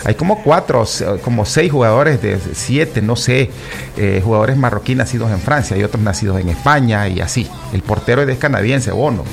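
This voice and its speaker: Gravelly man riffing on football. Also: mid-range voice, lively intonation, moderate pace, soft background music. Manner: rambling, repeats himself, many fillers and restarts; addresses listeners as "chicos". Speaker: football commentator. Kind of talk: casual opinion talk.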